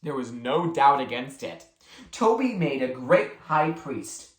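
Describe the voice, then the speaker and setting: A reader narrating a children's novel aloud in expressive storytelling style. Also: medium pitch, a little slow. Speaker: book reader. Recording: echoey room.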